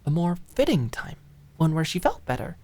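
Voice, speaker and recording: A woman reading dramatic scripted fiction as an audiobook narrator, with expressive, emotive storytelling. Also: low voice, normal speed.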